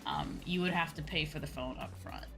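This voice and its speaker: A young woman chatting casually on a game livestream, rambling, expressive and informal, swearing freely. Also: deep voice, brisk. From outside Japan. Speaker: VTuber game streamer. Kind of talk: casual livestream chat over a video game. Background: game music.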